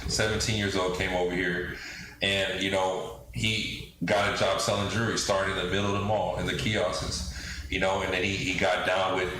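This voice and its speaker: Brief: man, 30s, from Texas, earnest guest, low flat voice, very roomy echo. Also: moderate pace, slight background noise. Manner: earnest, leans on "you know", polite "yes, sir". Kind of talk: casual interview talk.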